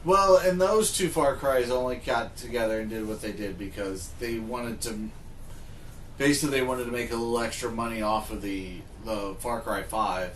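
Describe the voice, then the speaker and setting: A man talks as a podcast co-host in an explanatory, conversational way, circling back to restate his points. His voice sits at a medium pitch in an echoey room with faint noise behind it. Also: normal speed, fairly bright tone. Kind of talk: casual chat about games and films. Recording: roomy echo, faint background noise.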